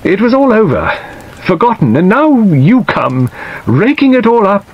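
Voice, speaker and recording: A man voicing a weak, hesitant middle-aged gentleman in an audiobook, plaintive and wistful. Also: high voice, breathy edge, voice pushed up, exaggerated voice. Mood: irritable, plaintive. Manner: hesitant, halting, self-qualifying. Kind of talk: dramatised audiobook reading. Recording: dull audio under steady rain noise.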